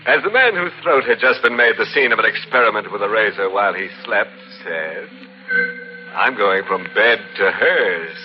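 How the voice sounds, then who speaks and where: An actor playing a teasing, ghoulish horror host in old-time radio, macabre and sardonic. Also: male voice, fairly low pitch, expressive, soft background music, close to an everyday voice. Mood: gleefully macabre. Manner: slow, macabre, sardonic, drawn-out. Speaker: host and narrator. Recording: muffled vintage radio recording.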